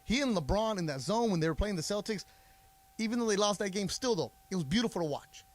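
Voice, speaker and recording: Guy on sports radio breaking down bets and hoops, bit high voice, sure of himself. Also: lively intonation, fast talker. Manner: confident, explanatory, talks at length. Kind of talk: sports talk radio analysis. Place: radio studio. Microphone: studio mic.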